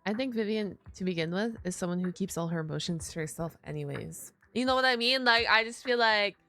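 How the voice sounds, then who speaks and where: Bright-voiced woman streaming game reactions. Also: mid-range voice, lively intonation, a little fast. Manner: informal, chatty asides. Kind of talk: casual live reaction commentary.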